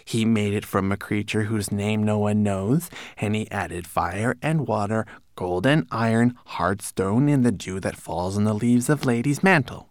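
A man's voice: lowish voice, measured pace, no echo, voice pushed up, close to an everyday voice. A man voicing a tiny, nervous male homunculus servant with an obsequious, fussing manner, in a storybook reading. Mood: dutiful reciting. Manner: obsequious, fussing, reciting dutifully.

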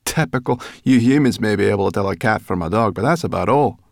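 A man reading a storybook aloud, voicing a cross, suspicious female brownie girl in a raised, sharp voice. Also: lowish voice, lively intonation, fast talker, creaky, a little hiss or hum. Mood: impatient. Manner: sharp, impatient, snappish.

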